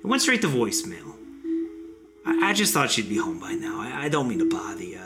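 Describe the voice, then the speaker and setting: An actor playing a foul-mouthed young American boyfriend in a found-footage audio drama, rambling and questioning himself. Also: male voice, medium pitch, sing-song, brisk, over loud music, natural voice. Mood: uneasy, apologetic. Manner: rambling, profane, self-questioning. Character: frantic, foul-mouthed young boyfriend searching for his girlfriend.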